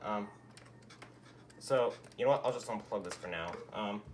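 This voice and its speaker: A man's voice: on the low side, moderate pace, slight background noise. A young man showing off his radio collection in a casual, rambling way, with the odd muttered curse. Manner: laid-back and rambling, with mild swearing. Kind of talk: casual rambling product demo.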